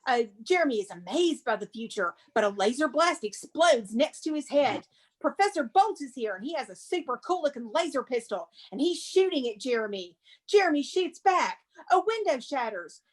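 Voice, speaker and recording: A woman's voice: high voice, very animated, moderate pace. A woman narrating the stage directions in a dramatic screenplay reading, with casual asides.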